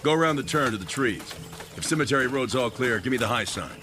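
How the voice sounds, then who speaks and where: A man's voice: mid-range voice, expressive, quick pace, lightly acted. An actor playing a brusque army sergeant barking orders at his soldiers, in a radio drama. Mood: gruff.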